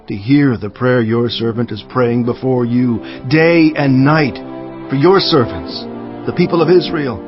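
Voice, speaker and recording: A man's voice: medium pitch, close to an everyday voice. A man playing a devout, earnest cupbearer who narrates his memoir in dramatized scripture, solemn and emphatic. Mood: fervent prayer. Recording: slightly dull audio with music behind. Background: music.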